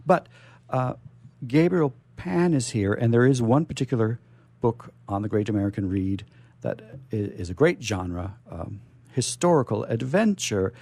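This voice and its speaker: A man with a mellow, relaxed voice hosts a radio interview. His pitch is average, and he talks in an informal, enthusiastic way. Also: moderate pace, no room sound. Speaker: radio host. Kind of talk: casual on-air interview chat.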